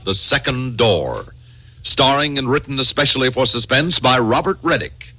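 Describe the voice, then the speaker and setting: An actor as a solemn, ominous announcer introducing a vintage radio suspense drama. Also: male voice, medium pitch, big pitch swings, moderate pace, background noise, lightly acted. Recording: old radio recording with music.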